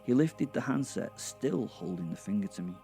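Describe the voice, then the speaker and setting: A man narrating a first-person horror comedy story with a theatrical, relishing manner and dramatic pauses. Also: fairly low pitch, lively intonation, gravelly, mellow, faint music behind. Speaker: narrator and host.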